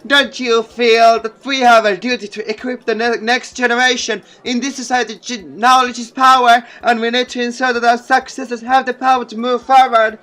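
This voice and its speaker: A man's voice: extremely high pitch, flat delivery, normal speed, hardly any pauses, pushed and bright, voice pushed up. A man voicing an earnest, idealistic woman teacher in a visual novel, preachy in manner, with music behind.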